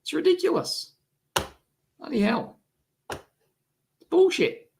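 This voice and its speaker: A man with a cold and a rough, sick-sounding voice, hosting a casual live stream and grumbling with exasperation. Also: extremely high pitch, huge pitch swings, takes it slowly, background noise. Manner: grumbling, exasperated, swears freely. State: has a cold, coughing. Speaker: electronics video host.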